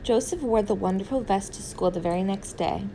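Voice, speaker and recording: A woman's voice: medium pitch, a little fast, creaky, dark tone, a little hiss or hum, rough recording. A woman reading a picture book aloud as a storytime reader for children.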